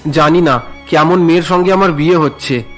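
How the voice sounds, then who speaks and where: A resentful young son and unwilling groom in a cartoon, voiced by a man. His voice is high for a man, whiny and indignant. There is faint music behind it. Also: fairly quick, lightly acted. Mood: bitter.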